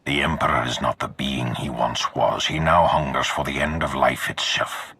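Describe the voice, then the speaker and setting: An imposing Sith lord for a video game, extremely deep and badly rasping. He speaks with solemn command, every phrase grave and forceful. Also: steady tone, normal speed, exaggerated voice. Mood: grave, ominous. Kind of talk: dramatic video game acting.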